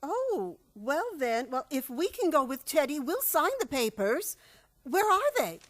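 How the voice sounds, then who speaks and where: A woman acting a kindly, fussy elderly aunt with a gentle, dithering manner in a radio play comedy. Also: high voice, sing-song, a little slow.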